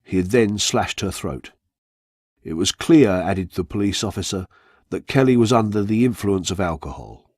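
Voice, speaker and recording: Low-voiced man reading scripted true-crime narration. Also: a little mellow, dry recording, studio quality. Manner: measured, factual storytelling.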